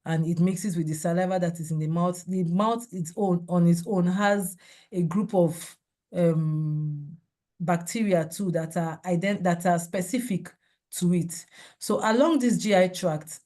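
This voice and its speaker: A woman with a cold, hoarse and clearing her throat now and then, giving a measured slide-based health seminar over a video call. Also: lowish voice, warm tone. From Nigeria. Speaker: seminar speaker. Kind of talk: online health talk with slides.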